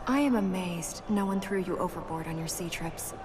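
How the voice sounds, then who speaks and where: A woman's voice: lowish voice, normal speed, background noise, natural voice. A woman playing a proud, earnest young princess in a video game, questioning and retorting dryly in conversational banter. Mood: dryly exasperated. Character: proud, sharp-witted young princess, earnest and easily exasperated. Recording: music behind the voice.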